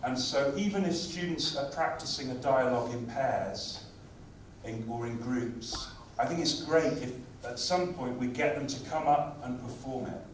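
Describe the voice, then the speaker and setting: A man giving a conference talk on language teaching, speaking in a lively, conversational way. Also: medium pitch, normal speed, a little hiss or hum. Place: conference hall. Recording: very echoey hall, far from mic.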